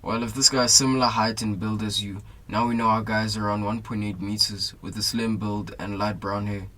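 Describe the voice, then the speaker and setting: A young South African man playing a steady, supportive police detective in a radio drama. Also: lowish voice, brisk, low-quality recording. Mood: businesslike. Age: young adult.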